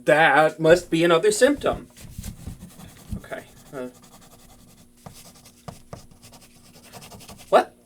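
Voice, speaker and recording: Performer acting a young fantasy wizard, earnest, flustered, anxious stammer, chatty, very lively pitch, intimate audio drama. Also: unhurried, lightly acted. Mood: worried, focused.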